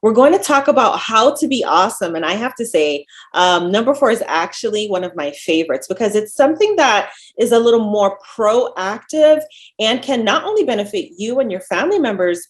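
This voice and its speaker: Jamaican-accented woman, dietitian presenter; friendly, lively teaching delivery. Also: mid-range voice, normal speed. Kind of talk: webinar presentation. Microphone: video call.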